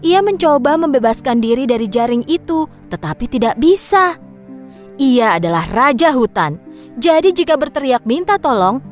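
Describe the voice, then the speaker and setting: A woman narrating a scripted children's story in an expressive storyteller's manner. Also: high voice. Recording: music behind the voice.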